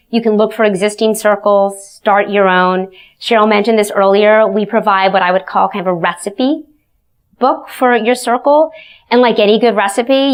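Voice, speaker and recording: A woman on a live webcast panel with a smooth, clear voice. She speaks in an upbeat, earnest way, explaining things step by step. Her pitch is typical for a woman. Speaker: panelist from a nonprofit.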